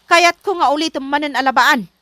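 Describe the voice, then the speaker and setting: A woman with a Filipino accent playing a haughty, quick-tempered employer in a comedy drama, scolding and imperious. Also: high-pitched, sharp tone, dry recording. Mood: bossy, scolding.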